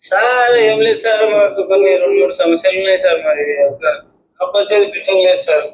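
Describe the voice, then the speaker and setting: A man's voice: high voice, fairly even intonation, quick pace. A man with a Telangana Telugu accent phoning in a local complaint, deferential and often saying sir. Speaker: phone-in caller with a local grievance. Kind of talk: deferential petitioning by phone. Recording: dull phone call, echo, noise.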